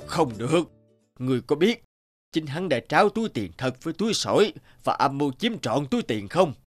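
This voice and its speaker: A man voicing a wise, stern old river god in a cartoon, speaking slowly and deliberately. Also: fairly high pitch, sing-song, music in the background. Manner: slow, deliberate, pronouncing.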